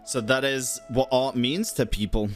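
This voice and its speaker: A woman with a low voice, streaming a game. She comments casually and reads lines aloud in an understated, wry way, with game music clearly behind her. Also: normal speed.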